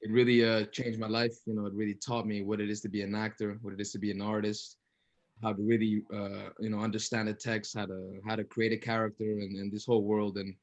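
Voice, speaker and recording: A man's voice: on the low side, little pitch movement, fairly quick, highs cut off. A man speaking earnestly and emphatically as an actor in a post-show Q&A, often repeating phrases as he reflects. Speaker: actor, interview guest.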